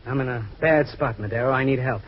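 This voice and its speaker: An actor playing a nervous, paranoid husband who pleads anxiously and urgently, in an old-time radio drama. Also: male voice, mid-range voice, a little fast, natural voice. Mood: desperate, pleading. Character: nervous, paranoid husband begging a detective for help. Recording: dull, noisy vintage radio recording.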